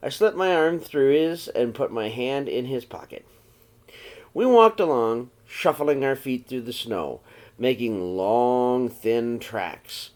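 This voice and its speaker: Man reading a storybook aloud, very varied pitch. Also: lively intonation, slow, darkish tone. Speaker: teacher reading aloud to young children. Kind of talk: read-aloud storybook narration.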